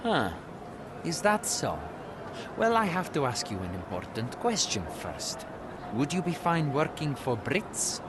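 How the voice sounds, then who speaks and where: A man's voice: fairly high pitch, big pitch swings, a little slow, background noise. An actor playing a wary, blunt male recruiter for a dig in a video game.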